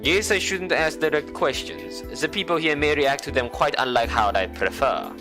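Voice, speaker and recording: A young man reading a visual novel aloud, with playful, sarcastic asides and mock-dramatic slowdowns. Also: fairly high pitch, music in the background. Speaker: game streamer.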